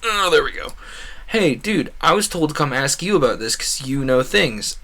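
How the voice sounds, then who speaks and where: A man's voice: on the high side, normal speed. Casual man rambling while gaming. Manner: animated, acts out quoted conversations. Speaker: video game streamer. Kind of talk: casual rambling gameplay commentary.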